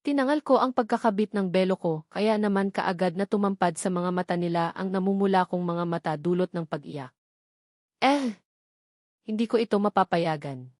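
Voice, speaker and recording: A woman's voice: lowish voice, fairly quick, hardly any pauses, darkish tone, no echo, studio quality. A woman with a Filipino accent reading a dramatic first-person novel as an audiobook narrator.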